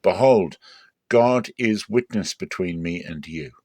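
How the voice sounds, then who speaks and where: A man's voice: deep voice, animated, slow pace, a little mellow, dry recording, studio quality, heavily acted. A man voicing a possessive, stern older patriarch in a dramatic scripture reading, speaking with emphatic, insistent declamation. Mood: solemn warning.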